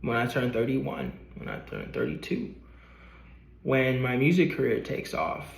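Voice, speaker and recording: Male vlogger, late twenties, mid-pitched, earnest motivational rambling with casual cursing, echoey room sound. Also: big pitch swings, measured pace, creaky. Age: 20s. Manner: earnest, rambling, swears casually, repeats himself. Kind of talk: motivational vlog talking to camera. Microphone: camera.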